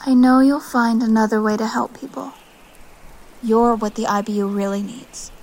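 Actress doing young exile woman, high voice, earnest, tender but stubborn, audio drama style. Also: fairly even intonation, normal speed, a little mellow, close to an everyday voice. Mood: gentle resolve.

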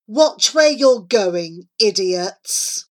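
A woman's voice: high-pitched, big pitch swings, measured pace, fairly bright tone, pitched above the performer's own voice, exaggerated voice. A woman voicing a smug, spiteful schoolboy bully with a taunting, sneering manner in a children's story. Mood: seething.

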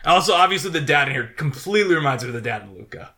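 A man co-hosting a podcast, chatting casually about films in an animated, rambling way, often acting out quotes. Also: fairly high pitch, very fast. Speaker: podcast co-host. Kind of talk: casual film chat.

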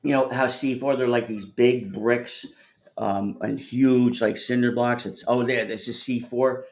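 A man's voice: mid-range voice, steady tone, unhurried. A man hosting a casual call-in discussion, talking in a blunt, rambling way with plenty of swearing. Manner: profane, blunt, rambling explainer. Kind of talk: casual chat.

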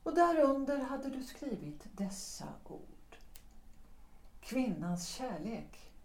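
A woman playing a calmly reproachful singer. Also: sits high. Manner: measured, deliberate, quietly reproachful. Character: woman, a former opera singer, calmly accusing. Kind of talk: audio drama acting. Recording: roomy echo.

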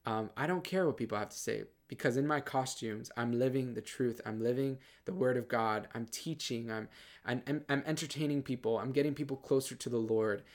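Earnest soft-voiced man giving testimony. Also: medium pitch, a little fast. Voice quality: soft, somewhat feminine-sounding voice. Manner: earnest, lots of fillers and repeated starts. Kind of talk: casual personal testimony.

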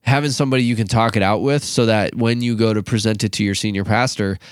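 A man's voice: lowish voice, fairly even intonation, fast talker, clear, resonant tone, dry recording, clean studio sound. A man in his late twenties, a youth pastor co-hosting a podcast, talking casually with many fillers and restarts. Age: 20s.

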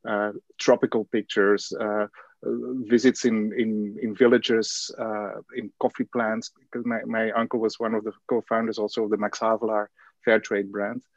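Belgian-born male guest, thoughtful and halting, dull dry lo-fi sound. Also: fairly low pitch, fairly even intonation, dry recording. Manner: hesitant, many fillers and restarts. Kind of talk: conversational interview answers.

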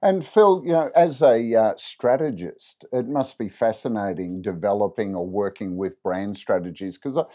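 A man's voice: fairly low pitch, moderate pace, few pauses, dark tone. A man from Australia hosting an interview podcast, chatting easily and leading his guests with questions. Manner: conversational, leading questions with tag questions. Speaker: podcast host.

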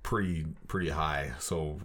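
A man's voice: extremely low pitch, steady tone, a little slow, a bit bright, a little hiss or hum. A man talking casually as a panelist on a film discussion podcast.

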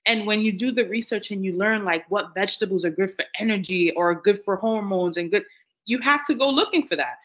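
A woman's voice: medium pitch, fairly quick. Earnest woman on a muffled line. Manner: earnest, reflective, many "like" fillers. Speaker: guest sharing her story. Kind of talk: personal testimony in conversation. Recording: dull lo-fi audio.

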